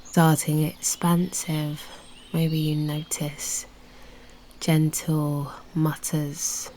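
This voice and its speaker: Very slow, hushed British woman guiding meditation. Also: deep voice, level tone, slight background noise. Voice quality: soft, breathy, often near whisper. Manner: soothing, unhurried, with long pauses. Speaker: meditation guide and host. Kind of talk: guided meditation narration.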